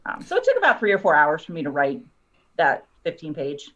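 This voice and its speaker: A woman's voice: deep voice, huge pitch swings, brisk, a bit bright. Woman explaining casually, dull lo-fi audio. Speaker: guest, screenwriter and story analyst. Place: online video call.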